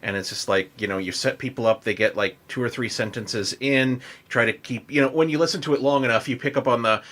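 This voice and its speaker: A man's voice: medium pitch, fast, a bit bright. A man hosting a podcast, chatting casually in a loose, informal way peppered with "like" and "you know". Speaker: podcast host. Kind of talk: casual chat.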